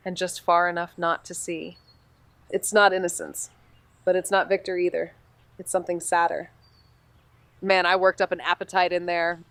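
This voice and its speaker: An actress playing a wry, determined amateur investigator in a noir audio drama, blunt and clipped in dialogue and narration. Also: female voice, on the low side, fairly bright tone, clear, resonant tone, dry recording. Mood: wry, casual.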